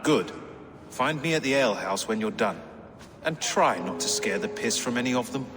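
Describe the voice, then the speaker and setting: A man voicing a learned, devout king who speaks in measured, formal phrases. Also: mid-range voice, lively intonation, in a large hall, lightly acted. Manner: scholarly, measured, quotes scripture. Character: learned, pious Saxon king.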